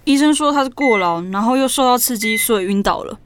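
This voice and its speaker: A woman's voice: on the high side, quick pace, very noisy, natural voice. A young woman playing a sensitive, self-doubting younger sister in an audio drama, plaintive and confiding. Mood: subdued. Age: young adult.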